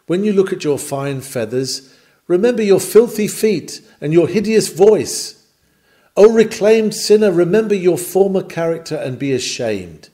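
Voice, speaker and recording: A man's voice: fairly high pitch, mellow. Man reading a sermon with sweeping intonation. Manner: oratorical, emphatic, declamatory. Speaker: narrator reading a historical sermon. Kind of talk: dramatic sermon reading, scripted.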